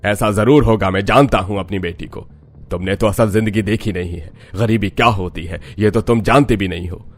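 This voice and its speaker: Man acting stern, low-voiced angry rich father. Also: moderate pace, faint music behind, close to an everyday voice. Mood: scornful, warning. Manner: stern, scolding, theatrical delivery. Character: angry, disdainful rich middle-aged Indian father. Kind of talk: Hindi cartoon story dialogue.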